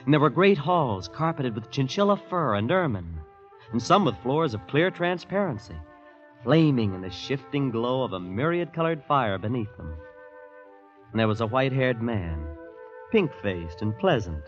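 A man's voice: medium pitch, big pitch swings, moderate pace, faint music behind, lightly acted. An actor playing an earnest, wide-eyed young American visitor who marvels and narrates his tale in a vintage radio drama. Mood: awed narration. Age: young adult. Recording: muffled vintage radio recording.

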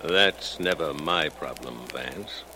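An actor playing a cagey racetrack bookmaker in a 1940s radio crime drama. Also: male voice, extremely low pitch, slow. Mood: guarded. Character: cagey, slow-talking male racetrack bookmaker. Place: radio studio. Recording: noisy vintage radio recording.

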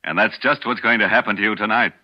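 A man's voice: lowish voice, steady tone, fast talker. A man hosting a 1940s radio horror show, with sardonic, macabre humor. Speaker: host. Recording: dull old radio broadcast with music.

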